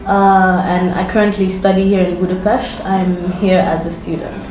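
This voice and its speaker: A woman's voice: lowish voice, level tone, moderate pace, a little mellow, faint music behind. A young woman with an East African accent, from Kenya and Uganda, chatting casually as an interview guest. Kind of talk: casual chat. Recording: roomy echo, noticeable background noise.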